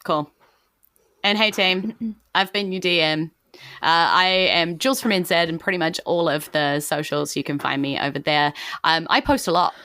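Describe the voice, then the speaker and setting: A woman with a New Zealand accent speaks as a podcast host and game master. Her voice sits a little low and is recorded very dry. She chats informally and jokes as she goes. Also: normal speed, bright, forceful tone, no room sound. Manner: chatty, informal, jokey. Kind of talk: casual podcast outro chat.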